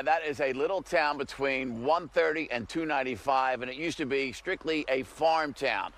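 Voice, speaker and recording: A man's voice: fairly high pitch, fairly bright tone. A middle-aged man reporting live outdoors for TV news, telling the story in a conversational, emphatic way. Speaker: field reporter. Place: outdoors by a grassy field.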